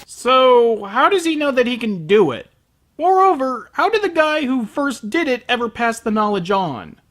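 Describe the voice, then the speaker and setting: Animated, sarcastic male reviewer. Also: very high voice, moderate pace. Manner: sarcastic, exasperated, profane. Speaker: host of an anime review show. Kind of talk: scripted comedic review narration.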